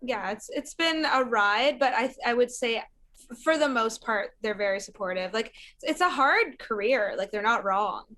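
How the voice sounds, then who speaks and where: A woman's voice: fairly high pitch, expressive, moderate pace, sharp tone. A young woman from Syria, a musician guest chatting casually, laughing often and using lots of fillers. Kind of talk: casual interview chat.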